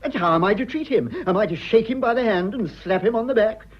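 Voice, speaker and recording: An actor playing a prim, fussy, earnest son with an upper-class English accent in a radio drama. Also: male voice, high-pitched, animated, fast talker, dull audio. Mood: anxious, aggrieved. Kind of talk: stage comedy of manners radio acting.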